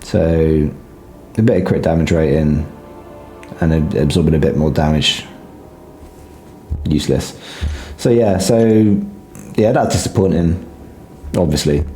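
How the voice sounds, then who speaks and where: A deep-voiced man talks through a mobile game in a relaxed, halting way, his throat a little sore. His voice is dark and mellow, and the room gives it a noticeable echo. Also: soft background music. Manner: hesitant, filler-heavy, low-key. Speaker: gaming guide creator. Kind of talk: casual game commentary. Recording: roomy echo.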